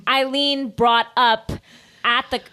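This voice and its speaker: A woman hosting a podcast with casual, playful comedic banter and emphatic phrasing, quick to joke. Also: on the high side, fairly even intonation, pushed and bright, slight background noise. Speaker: podcast host.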